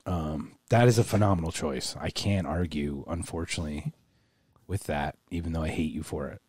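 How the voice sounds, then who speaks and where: A man's voice: rumbling low, moderate pace, a little mellow, husky, no echo. A man co-hosting a podcast in casual chat, crude and rambling, often laughing as he talks. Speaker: podcast co-host.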